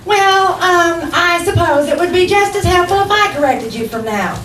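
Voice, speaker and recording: A woman acts a long-suffering comic wife with a very high voice, wry yet sweet. She speaks in a homely old-time radio style, a little off the mic in a roomy theatre. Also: animated, normal speed, fairly bright tone, slight background noise. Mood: helpful. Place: theatre stage, live performance. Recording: roomy live stage, distant mic, audience murmur.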